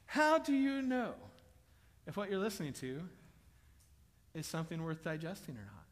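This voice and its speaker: A man preaching a sermon in a church auditorium, chatty and joking with the congregation, then building to loud, emphatic bursts. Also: sits high, sing-song, normal speed, long reverb tail. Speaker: pastor.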